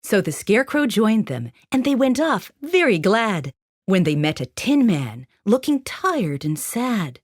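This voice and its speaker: A woman reads a rhyming children's tale as storyteller. Her delivery is expressive and sing-song with very lively pitch, recorded close and dry. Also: unhurried, dry recording.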